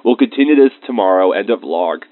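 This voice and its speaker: A man's voice: fairly low pitch, lively intonation, fast talker, no room sound, lightly acted. A man playing an easily irritated researcher, dictating lab log entries as scripted narration in an audio drama. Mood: bitter, agitated. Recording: muffled, band-limited close dry recording.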